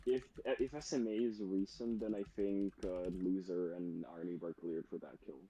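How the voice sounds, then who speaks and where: A man with a fairly low, mellow voice talks casually through an online game discussion. He starts and restarts his points, and the microphone sounds dull and lo-fi. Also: fairly even intonation, normal speed, slightly rough. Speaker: player in online social deduction game. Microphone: voice chat microphone. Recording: dull lo-fi mic with typing.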